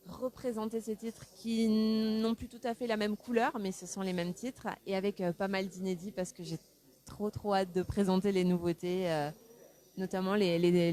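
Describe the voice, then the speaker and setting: A woman who grew up in France, a singer and songwriter, answering interview questions in a thoughtful, easygoing way with easy laughs. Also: fairly high pitch. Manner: thoughtful, warm, laughs easily.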